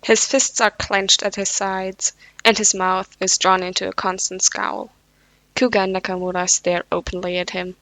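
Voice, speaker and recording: A woman's voice: medium pitch, level tone, normal speed, fairly bright tone, no echo. A woman reading fanfiction aloud at home, with casual, self-deprecating asides; English is not her first language. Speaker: podfic reader.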